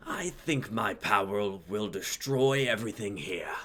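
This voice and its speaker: A man voicing an ancient, vengeful dragon king in a dramatic reading, with a breathy growling whisper and grandiose speech. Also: lowish voice, animated, bright, forceful tone, heavily acted. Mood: intrigued, haughty. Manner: slow, grandiose, archaic speech.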